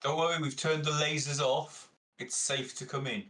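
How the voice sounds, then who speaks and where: A man's voice: fairly high pitch, fairly even intonation, normal speed, a bit bright. A man playing a tabletop game, chatting casually and jokingly with the table.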